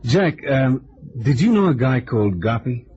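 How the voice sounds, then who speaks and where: An actor playing a wry, tough but affable amateur sleuth in an old-time radio drama, with streetwise, hesitant turns of phrase. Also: male voice, medium pitch, very animated, moderate pace, a little mellow, husky. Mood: persuasive, wry. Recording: dull, lo-fi vintage radio recording.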